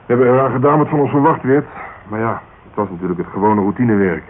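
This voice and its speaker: An actor playing a wry, cynical police detective in a radio drama, speaking colloquial in an offhand way. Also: male voice, mid-range voice, expressive, quick pace, natural voice. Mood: wry, persuasive. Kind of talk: Dutch radio drama dialogue. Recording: dull, hissy old radio recording.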